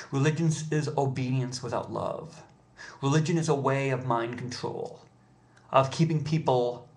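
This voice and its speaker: An American man making an impassioned personal appeal, speaking earnestly and pleadingly with halting, deliberate pauses. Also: medium pitch, creaky. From United States.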